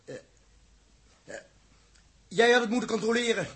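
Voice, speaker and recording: A man acting a defiant, bitter young spaceship crewman with a creaky voice in a 1960s Dutch radio drama. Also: very high-pitched, expressive, normal speed. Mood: defensive, angry.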